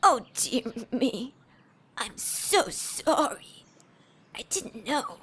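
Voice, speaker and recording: A woman's voice: a little high, big pitch swings, drawn-out words, fairly bright tone, husky, full character voice. An actress playing a sinister old lady in an audio drama, sweetly polite with menace underneath.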